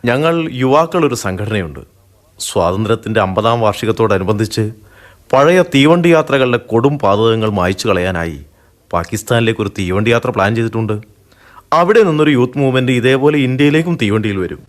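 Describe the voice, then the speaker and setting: A young actor playing a respectful, persuasive college student organizer in a radio play. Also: male voice, mid-range voice, lively intonation, fairly quick, close to an everyday voice. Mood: earnest. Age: young adult. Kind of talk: radio drama acting.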